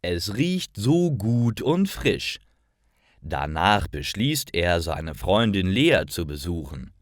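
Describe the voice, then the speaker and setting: A man narrating a scripted story for language learners, enunciating carefully and deliberately. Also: low voice, very animated, no room sound, studio quality.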